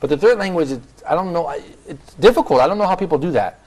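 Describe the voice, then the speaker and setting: Quick-talking man hosting a panel. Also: a little high, lively intonation, darkish tone, low-quality recording. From San Francisco, USA. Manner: upbeat, asks guests questions. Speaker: talk show host. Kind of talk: casual studio panel talk.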